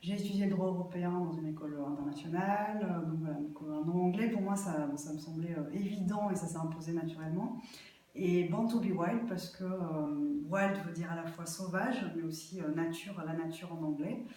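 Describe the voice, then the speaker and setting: A woman from Belgium giving spontaneous interview answers as a guest, thinking aloud with hesitant pauses. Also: fairly low pitch, warm tone. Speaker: interview guest, aspiring herb grower. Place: echoey festival venue. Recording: very echoey room, distant microphone.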